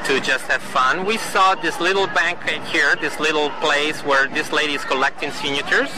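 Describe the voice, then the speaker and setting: A man giving an earnest, indignant first-person report outdoors at a harbor, halting and restarting as he talks. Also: a little high, fairly even intonation. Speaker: citizen filming a video clip. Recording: outdoor video with traffic noise.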